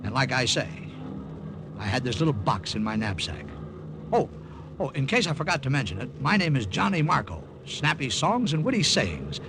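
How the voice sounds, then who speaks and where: An actor playing an eager, wisecracking American wartime agent and narrator in a 1950s radio drama. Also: male voice, medium pitch, big pitch swings, normal speed, background noise. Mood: jokey, cocky. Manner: jokey, eager, talkative. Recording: old radio broadcast with music.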